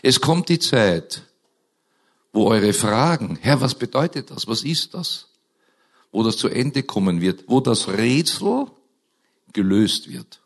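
Hoarse, slow-speaking male preacher. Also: medium pitch, sing-song. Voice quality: scratchy voice. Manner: slow, earnest preaching with emphatic rises. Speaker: pastor. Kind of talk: sermon with prayer and scripture reading. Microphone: microphone.

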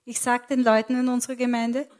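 Female sermon interpreter, clearly high-pitched voice. Live, phrase-by-phrase delivery, occasional self-corrections. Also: flat delivery, brisk, darkish tone.

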